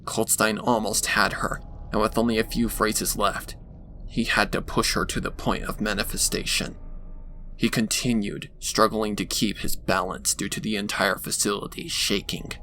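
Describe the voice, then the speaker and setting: A man reads a horror story as dramatic scripted narration. His voice is fairly low, with a gravelly creak and a tense, forceful edge. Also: level tone, normal speed. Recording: faint background noise.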